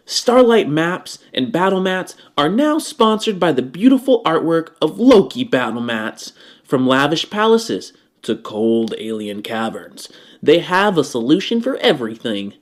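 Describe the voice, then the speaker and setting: A man giving a playful scripted sponsor read for a gaming show. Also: fairly high pitch.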